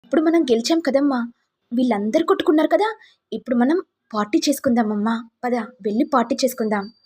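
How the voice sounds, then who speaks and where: A woman's voice: on the high side, fast talker, a little mellow, breathy edge. A woman voicing a scheming young daughter-in-law in a family story, gloating and falsely sweet. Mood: gleeful. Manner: gloating, mock-concerned.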